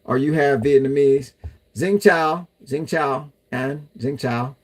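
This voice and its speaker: A man's voice: medium pitch, takes it slowly. Chatty man reading live comments. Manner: chatty, loose, talks to viewers as y'all. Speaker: livestream host reading chat. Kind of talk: casual livestream chat.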